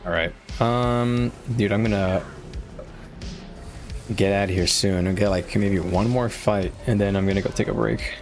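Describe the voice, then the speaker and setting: Male game streamer, slightly low voice, irritable and profane, mulling things over aloud with faint music underneath. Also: fairly even intonation, unhurried. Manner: frustrated, swearing, thinking aloud. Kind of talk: live gameplay commentary.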